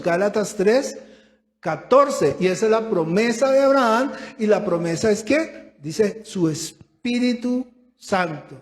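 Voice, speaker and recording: High-pitched man preaching in church, lots of back-and-forth questions, roomy echo. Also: darkish tone. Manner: preaching with rhetorical questions, rising emphasis. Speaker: pastor. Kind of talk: sermon. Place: church hall. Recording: echoey church room.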